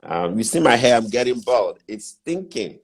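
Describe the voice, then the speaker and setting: A man's voice: medium pitch, sing-song, moderate pace. A middle-aged Nigerian man with a Nigerian accent, a guest mentor who talks through business steps in a casual interview, repeating his key points with emphasis.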